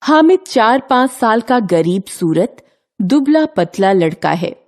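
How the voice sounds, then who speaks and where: A woman's voice: a little high, sing-song, takes it slowly, darkish tone. A woman narrator reading a scripted children's story.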